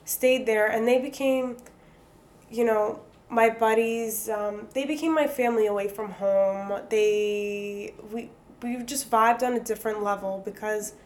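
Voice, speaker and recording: Guest: young Greek woman, slightly high voice, chatty and quick to laugh. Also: fairly even intonation, a little mellow. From United States. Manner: chatty, laughs often, mixes in Greek words. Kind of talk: casual interview chat.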